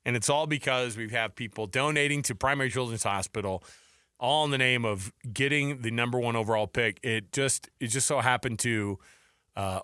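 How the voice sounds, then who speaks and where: A man's voice: mid-range voice, bright, forceful tone, clear, resonant tone, dry recording, studio quality. A man hosting a sports talk radio show, chatting casually and riffing jokingly in a rambling way.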